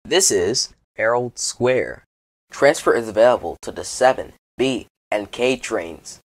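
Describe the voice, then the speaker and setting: Guy doing formal subway announcements, husky voice, lots of up and down, very dry sound. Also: mid-range voice, expressive, a little slow, no echo. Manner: formal, segmented phrasing. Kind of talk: scripted transit announcements.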